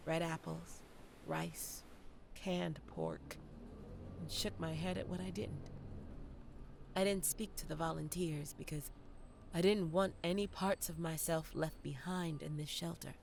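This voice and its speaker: An actress playing a wry, candid young theater graduate in a dramatic first-person audio play monologue addressed to the audience. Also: female voice, low voice, expressive, measured pace, creaky, background noise, heavily acted. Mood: ashamed, bitter. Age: young adult. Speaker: actor narrating an audio play.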